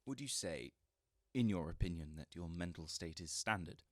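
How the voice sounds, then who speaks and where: A man's voice: sits low, lively intonation, normal speed. A man playing a polite, unsure, newly qualified psychiatric doctor in a radio drama, formal and hesitant in manner. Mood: formal, clinical.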